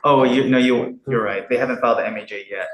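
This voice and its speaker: A man's voice: medium pitch, steady tone, fast talker. A man briefing a judge on procedure as a court attorney, matter-of-fact and often correcting himself. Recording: echoey courtroom, clearly noisy background.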